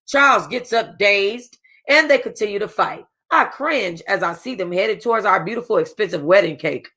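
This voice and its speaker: A woman reading a first-person novel aloud for a book club, casual and expressive, with a streetwise tone. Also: medium pitch, moderate pace, breathy edge.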